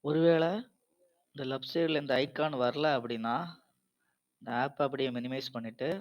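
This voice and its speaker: A man's voice: mid-range voice, moderate pace, lo-fi sound. A man narrating a step-by-step app tutorial in a plain, informal, instructional way. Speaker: tutorial host.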